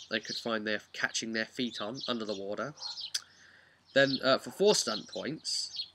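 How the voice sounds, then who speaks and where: A man, a game master, casually recapping a tabletop session in an animated, rambling, emphatic way. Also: lowish voice, noisy background. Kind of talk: casual unscripted storytelling vlog.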